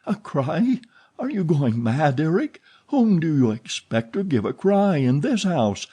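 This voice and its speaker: A man reading an audiobook, voicing a frightened young woman who pleads in a high, strained voice. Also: sing-song, a little slow, mellow, slightly rough, exaggerated voice. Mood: pleading.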